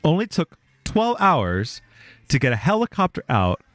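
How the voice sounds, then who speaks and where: A man's voice: on the high side, huge pitch swings, unhurried, a bit bright, no echo, faint music behind. A male podcast co-host casually reading out stories, swearing freely and laughing often.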